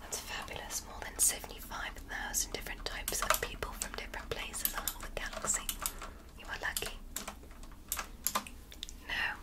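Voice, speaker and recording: A woman whispering in ASMR roleplay as a curious, gentle alien doctor examining a human, speaking slowly and clinically with a breathy whisper up close to the mic. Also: close to an everyday voice. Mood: soothing curious. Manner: slow, soft, clinical and fascinated. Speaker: ASMR creator. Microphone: close sensitive microphone.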